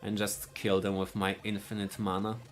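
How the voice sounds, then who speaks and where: Polish guy talking through his speedrun, halting and restarting a lot, bit of game music under him. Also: fairly low pitch, completely flat delivery. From Poland. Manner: halting, searching for words, frequent restarts. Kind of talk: live speedrun commentary.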